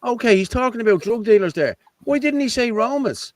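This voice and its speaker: A man's voice: extremely high pitch, expressive, fairly quick, no echo. A man hosting a casual political chat, blunt and sarcastic, with some swearing.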